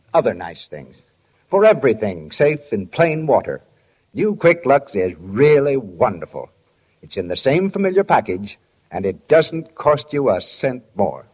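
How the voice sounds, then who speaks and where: Animated 1940s radio announcer man, slightly husky. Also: medium pitch. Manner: formal, showy, polished salesman delivery. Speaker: radio announcer and sponsor pitchman. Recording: dull vintage 1940s radio recording.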